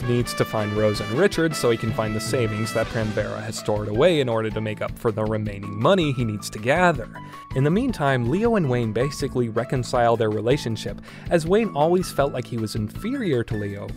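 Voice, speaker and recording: A man hosting a solo review podcast, talking casually and getting animated when he is excited. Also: medium pitch. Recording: music playing underneath. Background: guitar music.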